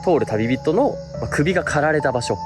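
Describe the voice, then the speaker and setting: A man with a very lively, swinging intonation explains things casually as host of a night exploration show. He speaks outdoors, with music and insect sounds behind his voice. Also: on the high side, fairly quick. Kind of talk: casual explaining to camera on location.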